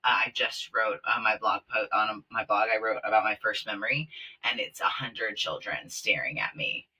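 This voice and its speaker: A man raised in Kenya, a podcast guest in casual interview chat, talking with many fillers and growing lively as he retells stories. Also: lowish voice, normal speed, slightly rough, distant mic, rough recording. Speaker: podcast guest, company founder. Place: small closet studio, in person.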